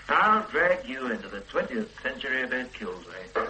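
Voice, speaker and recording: An authoritative police superintendent in an old radio play. His voice is bright and mid-pitched, brisk and dryly amused as he gives orders. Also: sing-song, slight background noise, full character voice, poor audio. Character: brisk modern-minded male police superintendent. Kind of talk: 1970s radio drama acting.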